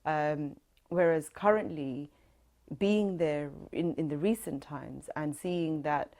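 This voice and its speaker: Low-voiced London woman, reflective. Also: slow, warm tone. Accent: London English. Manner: reflective, earnest, with many fillers. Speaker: interviewee. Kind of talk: oral history interview.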